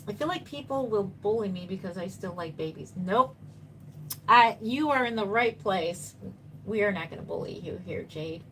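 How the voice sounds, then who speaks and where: A woman's voice: medium pitch, lively intonation, moderate pace, a bit bright, slight background noise, rough recording. A woman in her fifties, a doll artist chatting casually on a live stream, reading viewer comments and answering them reassuringly while she paints. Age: 50s.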